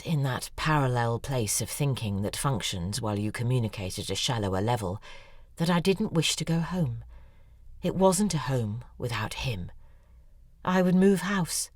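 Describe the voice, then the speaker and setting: A woman's voice: very deep voice, dry recording, studio quality, heavily acted. An actress narrating in first person as an acerbic, weary female novelist in an audio drama. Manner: sardonic, weary. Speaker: narrator and lead character, a novelist.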